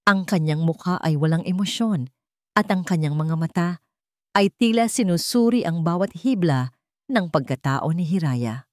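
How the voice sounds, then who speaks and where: A woman tells a scripted dramatic story in a low-pitched voice. The recording is dry and close, with no room around the narration. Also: lively intonation, moderate pace, darkish tone, dry recording.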